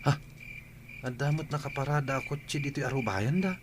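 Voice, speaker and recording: An actor playing a shy, polite young farmer in love, with an Ilocano accent, in a radio comedy drama. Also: male voice, mid-range voice, brisk, background noise, lightly acted. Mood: nervous, embarrassed.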